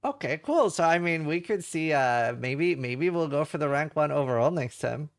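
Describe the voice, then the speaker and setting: Upbeat host man, slightly high and gravelly. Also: animated, normal speed, no echo, clean studio sound. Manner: upbeat, filler-heavy questioning. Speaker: show host. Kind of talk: casual post-show interview.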